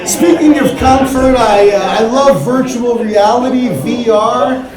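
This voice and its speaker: A young male comedian with a very high voice works a club stage in a dry, offhand, deadpan way. His set rings through a roomy space, and a loud crowd laughs behind him. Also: measured pace. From Miami, Florida. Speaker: stand-up comedian. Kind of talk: stand-up set. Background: audience laughter.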